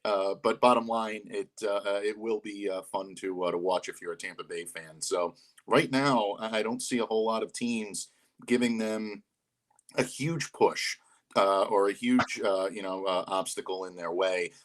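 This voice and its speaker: A man co-hosting a sports radio show who gives measured analysis and hesitates often. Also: lowish voice, steady tone, a bit bright. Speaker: radio co-host.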